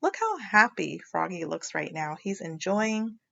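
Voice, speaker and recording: A woman teacher reading a picture book aloud to children, explaining expressively and pausing to check understanding with questions. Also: on the low side, big pitch swings, vocal fry, a bit bright, muffled recording.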